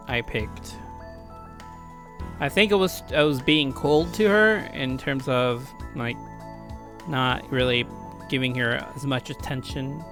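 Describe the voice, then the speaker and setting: Dude rambling thru a let's play, crude and jokey, music playing behind him. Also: male voice, medium pitch. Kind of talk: casual game commentary and read-aloud.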